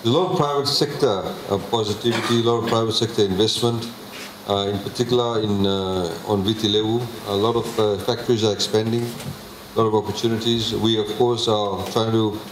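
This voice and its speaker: Male official, low-ish voice, measured public address with pauses, roomy hall, faint background hum. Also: steady tone. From Fiji. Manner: measured, many filler pauses. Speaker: government minister presenting a budget. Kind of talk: public presentation with slides. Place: public consultation meeting hall. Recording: echoey hall, faint ambience.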